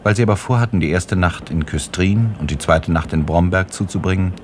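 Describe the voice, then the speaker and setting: A man's voice: low voice, brisk, slight background noise. A man reading scripted literary narration as the narrator of a radio play.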